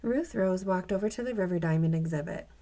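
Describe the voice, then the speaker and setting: A woman's voice: fairly low pitch, brisk, mellow. A woman reading a children's storybook aloud as the narrator.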